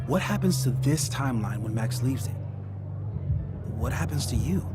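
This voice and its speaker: An actor playing an earnest, troubled friend who reads his letter in a measured, thoughtful voice with hesitant pauses, in a video game. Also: mid-range voice, lively intonation, natural voice. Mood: apologetic. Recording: music behind the voice. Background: music.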